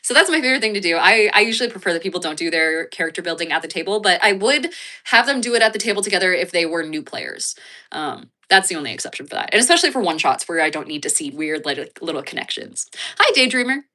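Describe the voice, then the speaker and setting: Congested American woman with a bright, low voice. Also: lively intonation, quick pace. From United States. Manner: rambling, swears freely, many fillers and restarts. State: congested, sniffly. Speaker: livestreamer reading chat. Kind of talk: casual chat.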